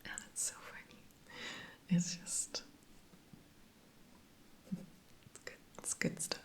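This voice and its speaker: A woman whispering softly throughout, recapping TV episodes in a chatty ASMR style. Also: takes it slowly. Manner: soft unvoiced whisper throughout, chatty with fillers. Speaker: TV episode reviewer.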